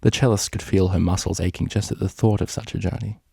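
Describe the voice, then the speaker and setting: A man narrates a story in a low, gravelly voice with a dark, mellow tone. The sound is close and dry, as he reads scripted prose. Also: steady tone, fast talker, no room sound. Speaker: fan fiction reader.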